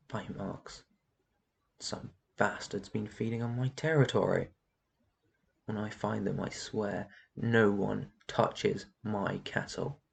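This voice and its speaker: A man's voice: lowish voice, vocal fry, husky, exaggerated voice. A man playing a brooding, protective vampire in an intense fantasy ASMR roleplay. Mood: urgent, grieving, then angry, commanding. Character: brooding protective male vampire guarding his human flock.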